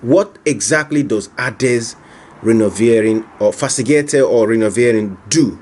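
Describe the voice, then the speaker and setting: Male interviewer, husky tone, probing, rephrases his questions. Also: mid-range voice, measured pace, darkish tone. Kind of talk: on-camera interview.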